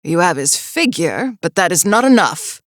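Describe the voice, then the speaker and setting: A woman voicing a loyal, skeptical scholar's assistant in a video game, with formal, deliberate and theatrical speech. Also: lowish voice, very animated, no room sound, studio quality. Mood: suspicious. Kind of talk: dramatic video game voice acting.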